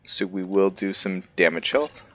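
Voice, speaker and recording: A man's voice: low voice, normal speed, fairly bright tone. A man giving casual gameplay commentary, thinking aloud and musing to himself as he goes. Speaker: gameplay video host.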